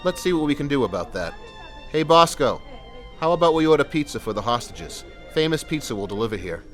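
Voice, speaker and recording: A man's voice: on the high side, sing-song, fairly quick, faint music behind. A man playing a patient, reassuring police sergeant in an audio drama. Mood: friendly, steady. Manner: reassuring, plain-spoken, patient. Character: calm, fatherly male police sergeant negotiating.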